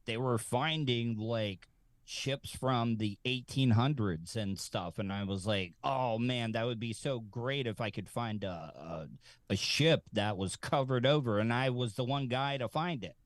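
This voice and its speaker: A man as a talk show guest, chatting casually in a halting, probing way. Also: mid-range voice, no room sound, clean studio sound. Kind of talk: casual chat.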